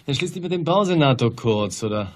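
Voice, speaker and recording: A man's voice: mid-range voice, animated, brisk, natural voice. An actor playing an arrogant, irritated male builder under suspicion in a German radio crime drama. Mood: annoyed, urgent. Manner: irritated, haughty. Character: indignant, arrogant male builder suspected of murder.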